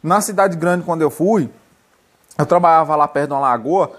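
A man's voice: on the high side, a little fast. A young man from Minas Gerais with a mineiro accent, rambling and joking in a casual comic monologue, filmed indoors on a phone held at arm's length. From Minas Gerais, Brazil. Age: young adult. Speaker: vlogger. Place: indoors, seated on a wooden bench by a painted wall.

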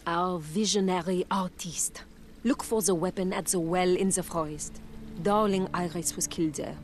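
An actress playing a haughty, serene cultist with a French accent and a pompous, mystical manner in a video game, a talking severed head. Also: female voice, lowish voice, normal speed, a little hiss or hum, natural voice. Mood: aloof, cryptic. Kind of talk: video game character acting.

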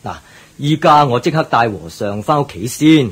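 Husky, hospitable Chinese merchant. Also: male voice, medium pitch, expressive, moderate pace. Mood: cheerful. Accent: Cantonese, Hong Kong. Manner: polite, reassuring. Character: hospitable, devout middle-aged overseas Chinese shopkeeper. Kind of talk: radio drama acting.

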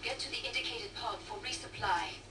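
A woman playing a brisk, wry, teasing trader in a video game. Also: mid-range voice, distant mic, over music, lightly acted. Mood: businesslike. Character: brisk, wry French-speaking woman trader guiding fighters. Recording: noisy, echoey game audio from TV speaker.

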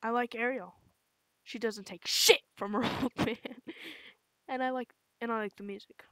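Woman acting a cool teenage boy, deadpan, subdued, sincere, close dry sound, comic dub. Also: a little high, moderate pace, no room sound, natural voice, rough recording.

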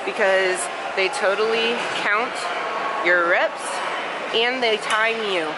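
A woman's voice: mid-range voice, slow. A young woman casually chatting and laughing as she films herself at a big indoor gym on a handheld camera. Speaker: vlogger. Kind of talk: casual vlog chat. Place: large busy gym, indoors. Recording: loud gym noise behind.

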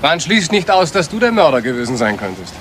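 A man's voice: fairly high pitch, big pitch swings, brisk, fairly bright tone, slight background noise. A male actor playing a bitter, sardonic adult son in a German crime radio play, mocking and insinuating. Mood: bitter, taunting. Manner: mocking, insinuating, with rhetorical questions.

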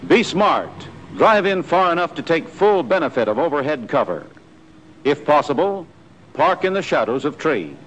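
An American man narrating a 1940s military training film in a scripted, instructive style, with clear imperative delivery. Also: fairly high pitch, sing-song, moderate pace. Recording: noisy 1940s film soundtrack.

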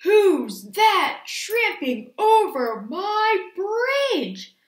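A woman's voice: a little high, animated, slow, sharp tone, voice pushed down, heavily acted. A woman voicing a mean, ugly male troll from a children's storybook, roaring menacingly and drawing out words in an echoey room. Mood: gruff menace. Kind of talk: children's storybook read-aloud.

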